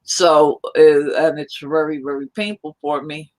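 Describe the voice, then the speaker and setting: A woman from New York chatting casually on a live stream, rambling in a loud, hyper way. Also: low-pitched, fairly even intonation, measured pace. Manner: rambling, hyper, self-described loud talker.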